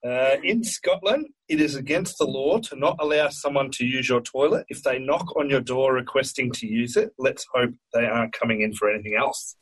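A man's voice: mid-range voice, moderate pace, few pauses, slightly rough, poor audio. A man co-hosting, reading out light trivia in a conversational setting. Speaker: co-host.

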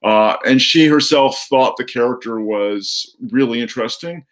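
A man speaking as a guest in a conversational film discussion, measured and hesitant, carefully qualifying his opinions. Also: mid-range voice, fairly bright tone.

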